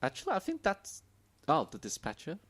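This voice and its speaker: A man's voice: a little high, wildly swooping pitch, brisk, a bit bright. A man in his thirties co-hosting a podcast in casual chat. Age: 30s. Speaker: podcast co-host.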